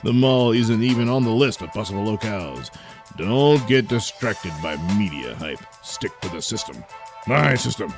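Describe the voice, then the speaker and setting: A man's voice: lowish voice, sing-song, normal speed, reverberant, music in the background. A man voicing a pompous, hot-tempered masked vigilante who bellows insults, in a comedy audio drama. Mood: blustering.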